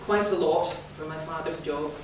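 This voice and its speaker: A woman's voice: low voice, normal speed. Echoey, distant woman speaker. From Scotland, born in the Highlands. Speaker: club member giving a first speech. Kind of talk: prepared public speech. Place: indoor meeting room, in front of an audience. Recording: very echoey room, distant mic, clearly noisy.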